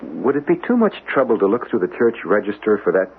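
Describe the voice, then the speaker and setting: An actor playing a courteous, intrigued antiques enthusiast in a radio drama, earnest and somewhat hesitant. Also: male voice, on the low side, very animated, fast, creaky, slightly rough, exaggerated voice. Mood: intrigued, wistful. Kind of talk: old-fashioned radio drama acting. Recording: tinny noisy old radio broadcast.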